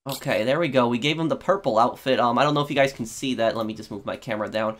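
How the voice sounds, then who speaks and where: A man hosting a gaming video, giving casual playthrough commentary in a halting, think-aloud way. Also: mid-range voice, fairly bright tone.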